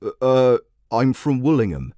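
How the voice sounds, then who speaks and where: Guy doing a grumbling forty-something bloke calling talk radio, blunt, defensive, swingy pitch, dry sound. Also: very animated, moderate pace, dark tone, no room sound, close to an everyday voice. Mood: indignant. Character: defensive, bigoted working man of forty-one, radio caller.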